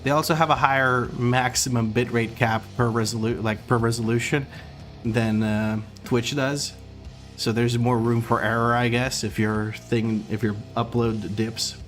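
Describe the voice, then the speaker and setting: A man's voice: mid-range voice, a little slow, soft background music. A man from Croatia who sounds American, chatting casually with his stream chat while gaming and reading out messages. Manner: relaxed, rambling, reads chat aloud, stumbles and restarts.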